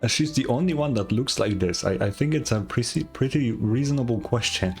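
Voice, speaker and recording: A woman's voice: extremely low pitch, lively intonation, brisk, a little mellow, soft background music. A woman streamer giving casual reaction commentary on a game, rambling and tired, swearing freely.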